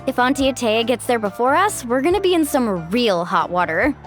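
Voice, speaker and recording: A woman voicing an upbeat, friendly young swimmer and guide in an animated game style. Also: fairly high pitch, brisk, faint music behind. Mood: earnest. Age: young adult.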